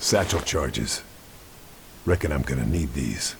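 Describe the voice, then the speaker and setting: A man's voice: a bass voice, expressive, a little slow, noisy background, heavily acted. A man voicing a terse, grim British sniper agent in a video game, speaking in a clipped, to-the-point way.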